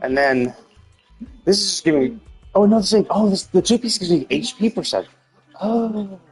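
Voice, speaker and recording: A man streaming a game live, talking casually and haltingly as he works through numbers to himself. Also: high-pitched, expressive, husky, faint music behind. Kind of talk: casual gaming stream commentary, thinking aloud.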